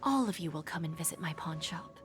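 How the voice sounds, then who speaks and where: An actress voicing a poised, shrewd woman pawnbroker in a video game, speaking in a measured, formal, insinuating way with breathy phrase endings. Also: low-pitched, expressive, a bit bright, soft background music. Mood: measured, intrigued. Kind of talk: scripted game dialogue.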